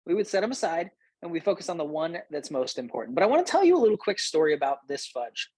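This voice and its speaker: Male host, late thirties, slightly husky and close-recorded, conversational storyteller with emphatic repeats. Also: on the high side, big pitch swings, fast, a little mellow, no echo. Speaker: host, business coach. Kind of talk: personal storytelling to listeners.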